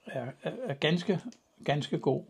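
A man's voice: on the high side, gravelly, breathy edge. A man, chatting casually as he looks back over pictures. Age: 60s. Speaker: video podcast host and camping journalist. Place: small home office with bookshelves, indoors. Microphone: clip-on lavalier.

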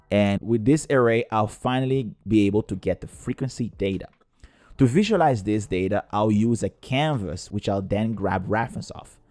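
A man's voice: lowish voice, darkish tone, no echo. A man narrating a scripted step-by-step coding tutorial in a methodical, explanatory way. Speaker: tutorial presenter.

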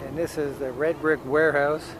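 A man's voice: fairly high pitch, moderate pace. A man casually narrating a walking tour of an outdoor waterfront plaza, filming on a handheld camera. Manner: relaxed, offhand commentary while walking. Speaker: travel vlogger. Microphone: handheld camera mic. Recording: noisy outdoor camera audio.

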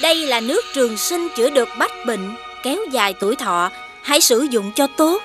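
A woman voicing a majestic fairy spirit in an animated fairy tale, speaking in a stately, commanding manner. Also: high-pitched, fairly quick. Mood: benevolent, stately. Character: majestic fairy spirit, granting gifts and passing judgement. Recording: loud music behind the voice.

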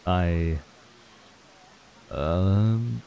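Man acting as laid-back young guy, deep voice, soft mellow tone, wistful, casual and hesitant, intimate ASMR roleplay. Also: extremely slow, vocal fry, full character voice.

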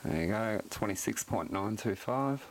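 Deep voiced guy casually showing off his gear, camera mic, noisy behind him. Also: lively intonation, normal speed. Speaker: radio hobbyist presenting his equipment. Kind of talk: casual unscripted product demonstration. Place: indoors at a desk, behind the camera.

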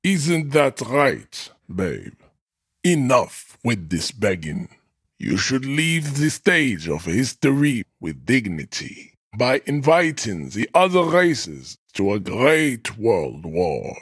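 A man's voice: medium pitch, husky, no echo, studio quality, exaggerated voice. A man playing a towering, pompous, contemptuous racist strongman in a video game, declaiming his pseudo-science grandly. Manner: pompous, slow declamatory delivery. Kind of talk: video game character acting.

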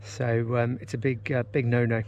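A man's voice: mid-range voice, moderate pace, dull audio, slight background noise. A man in his forties on a river in a kayak, talking casually and with enthusiasm to a camera mounted on the boat, with plenty of ums and uhs. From England. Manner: enthusiastic, relaxed, with many fillers. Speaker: outdoors vlogger. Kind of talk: casual vlog narration. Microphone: action camera mounted on the kayak.

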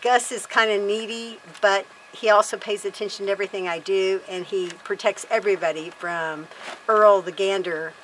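A woman's voice: mid-range voice, fairly even intonation, moderate pace, slight background noise, poor audio. A woman in her fifties chatting casually to camera outdoors on a wooden deck, rambling and correcting herself as she talks. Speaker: homesteader vlog host.

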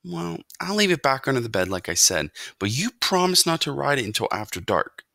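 A young storybook boy, put on by a woman, talking like a friendly co-conspirator. The voice sits a little raised, bright and animated, with a slightly bossy, confiding manner. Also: a bass voice, fairly quick, gravelly, no room sound, studio quality, pitched above the performer's own voice, exaggerated voice. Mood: cautioning. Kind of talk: children's storybook character voice.

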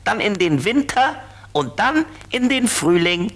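A man's voice: sits high, big pitch swings, unhurried, a bit bright, exaggerated voice. An actor voicing a friendly, playful little flying ladybird car in a 1970s children's radio play, cheerful and reassuring. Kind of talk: 1970s children's audio drama acting.

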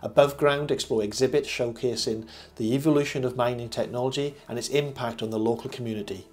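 An older man reading a scripted review voice-over as host, in a measured, formal presenter manner. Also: mid-range voice, steady tone, darkish tone. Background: background music.

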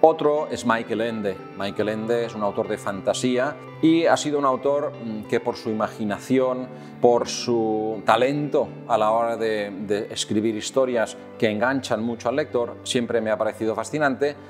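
Calm Catalan-accented man in his fifties. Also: medium pitch, faint music behind. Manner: calm, measured, with many "eh" fillers. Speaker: children's author answering questions. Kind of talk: recorded talk to camera for children. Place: indoor room with tall wooden windows.

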